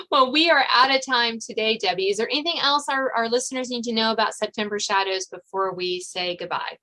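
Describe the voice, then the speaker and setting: A woman podcast host with a fairly high, bright voice. She speaks conversationally, putting questions to a guest and addressing her listeners. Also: fairly quick, poor audio. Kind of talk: conversational interviewing.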